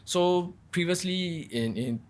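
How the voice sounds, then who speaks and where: Man presenting, halting and explanatory. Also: sits high, animated. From Singapore. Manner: halting, explanatory, repeats words. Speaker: workshop presenter, malware researcher. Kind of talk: technical conference presentation.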